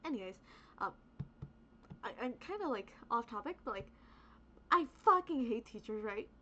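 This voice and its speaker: A woman's voice: on the high side, animated, moderate pace, bright, forceful tone, a little hiss or hum. A young woman whose first language is Spanish, rambling in a casual, profane rant as a VTuber streamer, peppered with "like" and "right?". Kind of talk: casual ranting monologue. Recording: dull lo-fi recording.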